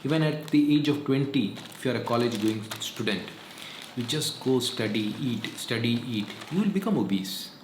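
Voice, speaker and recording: A man's voice: mid-range voice, expressive, normal speed. A man, giving earnest, repetitive health advice in a casual vlog monologue. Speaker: vlogger. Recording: echoey room, faint noise.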